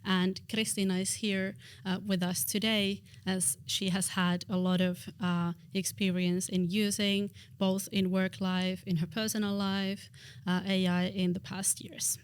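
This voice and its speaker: A woman's voice: medium pitch, level tone, a little slow, clear, resonant tone, dry recording. A woman hosting a podcast interview, speaking with many hesitant fillers. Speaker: podcast host.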